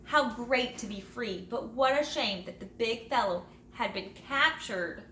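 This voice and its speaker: A woman reads a children's picture book like a teacher to her pupils. Her voice is fairly high and bright, with dramatic, drawn-out phrasing. The recording is roomy and echoey. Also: lively intonation. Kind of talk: animated children's storybook read-aloud. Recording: roomy echo, light background noise.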